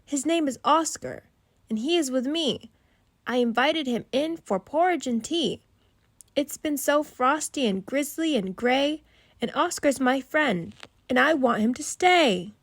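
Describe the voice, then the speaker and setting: A woman's voice: sits high, animated, measured pace, dry recording, pitched above the performer's own voice. A woman voicing a kind, earnest child in a children's storybook, speaking eagerly and emphatically. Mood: pleading. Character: kind, earnest child narrator pleading for a friend.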